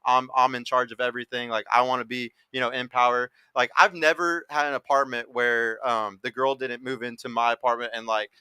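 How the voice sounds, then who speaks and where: A man in his thirties holds forth on a dating podcast, quick and emphatic and not shy about swearing. His voice is bright and forward. The sound is close and dry. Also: medium pitch, level tone, clear, resonant tone, no room sound, poor audio. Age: 30s. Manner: emphatic, blunt, swears freely. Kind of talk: casual panel talk.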